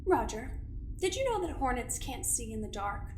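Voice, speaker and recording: A woman voicing a cold, scheming female doctor who talks in a measured, clinical way with a quiet menace. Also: fairly high pitch, sing-song, reverberant, a little hiss or hum. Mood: smug. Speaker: doctor running an investigation. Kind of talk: audio drama acting.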